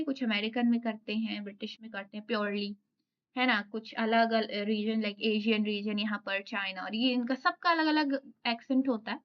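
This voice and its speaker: Indian woman lecturer, slow read-aloud and re-explaining, slightly high pitch, dull lo-fi audio. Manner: explains, reads English notes slowly, then repeats them. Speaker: teacher. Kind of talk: exam lecture, reading notes aloud and explaining.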